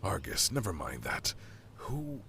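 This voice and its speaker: A man's voice: sits low, wildly swooping pitch, measured pace, vocal fry, sharp tone, a little hiss or hum, exaggerated voice. A man playing an anxious, cautious god in an audio drama, earnest and protective of his brother. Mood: worried, cautious.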